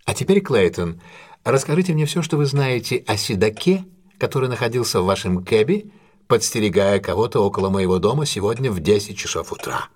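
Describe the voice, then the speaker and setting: An actor in an audio drama playing a shrewd, commanding gentleman detective, husky, courteous and intense, with theatrical deliberate delivery. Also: lowish voice, very animated. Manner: theatrical, authoritative, deliberate questioning.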